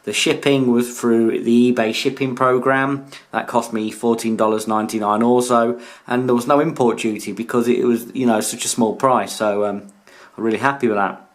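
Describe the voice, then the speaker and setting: A British man casually presenting a hobby video in a hesitant, halting way. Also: medium pitch, fairly even intonation. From United Kingdom. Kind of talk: casual product showcase narration. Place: indoors in a room.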